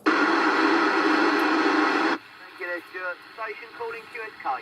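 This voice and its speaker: A man's voice: high voice, fairly even intonation, measured pace. A man chatting casually as controller of a CB radio net, heard over a noisy, distant radio link. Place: inside a van.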